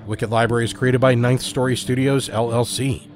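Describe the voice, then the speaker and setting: A man's voice: medium pitch, little pitch movement, moderate pace. A man reading scripted narration as the storyteller of a fiction podcast. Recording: music under the voice. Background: musical score.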